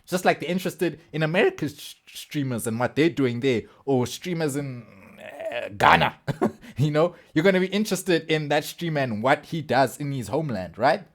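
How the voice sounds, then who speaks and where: A man's voice: fairly high pitch, expressive, normal speed. A man giving casual, blunt, slangy reaction commentary on video clips. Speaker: reaction streamer.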